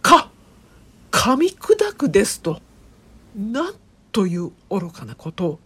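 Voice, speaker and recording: A woman playing an eccentric, excitable professor in a comic audio drama, with pompous, theatrical, old-fashioned formal speech. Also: medium pitch, slow, breathy edge, heavily acted. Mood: indignant. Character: eccentric, excitable professor obsessed with a phantom bird. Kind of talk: comedic audio drama acting.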